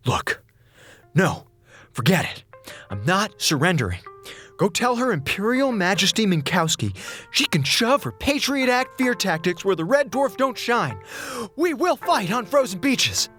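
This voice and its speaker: A man's voice: high voice, very animated, a bit bright, soft background music, full character voice. An actor playing a wisecracking, defiant male comms officer who talks in a sarcastic, theatrical way. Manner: sarcastic, theatrical, quick with jokes. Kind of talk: audio drama acting.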